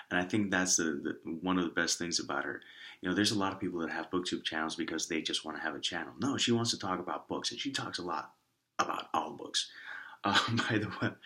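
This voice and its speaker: A man with a raspy voice and a lingering cough, casually rambling through shoutouts with many restarts and asides. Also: rumbling low. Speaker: author and vlogger.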